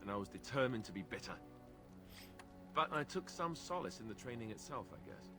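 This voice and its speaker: An actor playing a wry, self-deprecating young warrior in a fantasy video game, with dry, conversational joking. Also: male voice, fairly low pitch, sing-song, a little fast. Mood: candid, reflective. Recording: game audio with prominent background music. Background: game music.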